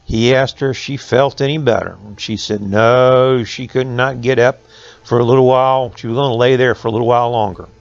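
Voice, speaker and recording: A man's voice: mid-range voice, steady tone, fairly quick, vocal fry. An older man reading a folk tale aloud as a storyteller, with folksy rural phrasing. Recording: echoey room, slightly dull sound.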